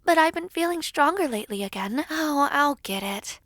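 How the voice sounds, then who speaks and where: A woman playing a gentle, frail young woman who is eager to help, in an audio roleplay drama. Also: high-pitched, lively intonation, normal speed, dry recording, studio quality. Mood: gentle, helpful.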